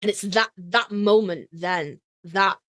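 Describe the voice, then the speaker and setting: A woman chats as a podcast co-host in a close, dry recording. Her pitch sits mid-range, and she talks casually, with plenty of swearing and self-mocking fan enthusiasm. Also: slow, a bit bright, dry recording.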